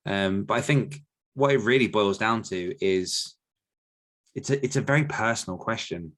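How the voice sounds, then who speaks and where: Man, lowish pitch, informal, reflective, podcast conversation, author coach. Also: moderate pace, a bit bright.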